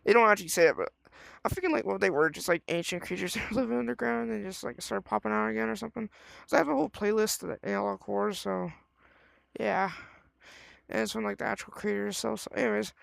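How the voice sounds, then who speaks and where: A man's voice: sits high, quick pace, creaky, a bit bright, dry recording. A man casually giving reaction commentary as a video host, rambling in slangy language and repeating himself.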